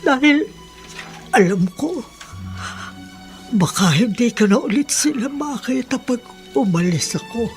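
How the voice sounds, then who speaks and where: A sorrowful Filipino mother in a radio drama. Her voice is slightly high and very slow, plaintive and sympathetic, with faint music behind. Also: expressive. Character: sorrowful, sympathetic mother exiled in a leper colony.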